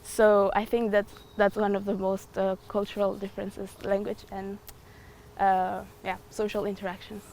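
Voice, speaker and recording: Woman, 20s; thoughtful interview answers with fillers, average pitch, slight background noise. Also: completely flat delivery, moderate pace, darkish tone. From Ecuador. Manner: thoughtful, hesitant with frequent fillers. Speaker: student.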